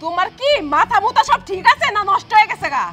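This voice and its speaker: A woman's voice: extremely high pitch, expressive, fast talker, over loud music. A woman acting a demanding, quarrelsome married daughter in a family drama, with a Bangladeshi accent. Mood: bossy. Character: bossy, indignant married daughter visiting her family home.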